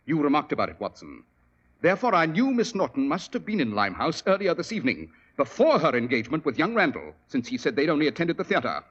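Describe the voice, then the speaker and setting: An actor playing a shrewd, commanding male detective in 1940s radio drama style, with a crisp, authoritative delivery. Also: fairly high pitch, huge pitch swings, quick pace, fairly bright tone, muffled recording, heavily acted. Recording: old radio broadcast with faint hiss.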